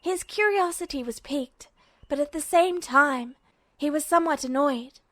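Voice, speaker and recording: A woman reading fan fiction aloud as a podfic, in steady storytelling narration. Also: high voice, moderate pace, dry recording. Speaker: podfic reader.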